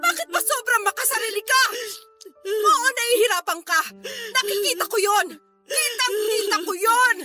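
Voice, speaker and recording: A high-pitched, desperate scolding grandmother. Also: moderate pace, sharp tone, soft background music. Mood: anguished scolding. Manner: scolding, imploring, tearful emphatic delivery. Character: desperate, stern older mother-in-law pleading with young mother. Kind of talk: Tagalog radio drama acting.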